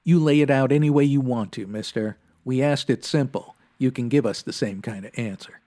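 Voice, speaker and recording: A man acting as a 1950s radio police sergeant with a medium-pitched voice. He is stern, dry and unflappable, speaking in clipped, deadpan sentences. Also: brisk, close to an everyday voice. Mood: tough, curt. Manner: deadpan, clipped, matter-of-fact.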